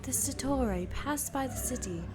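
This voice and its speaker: A woman playing a bossy, brash servant in a dramatic fan dub, brusque and expressive. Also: a little high, moderate pace, lightly acted. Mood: explaining. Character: bossy, scolding female servant, brash and exasperated. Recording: music behind.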